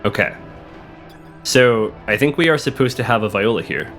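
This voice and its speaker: A man with a cold, hoarse and a little sniffly, chatting casually while playing a video game, thinking aloud and reading on-screen text. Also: fairly low pitch, lively intonation, moderate pace, fairly bright tone. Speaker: let's play host. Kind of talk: casual gameplay commentary. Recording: game music playing behind the voice.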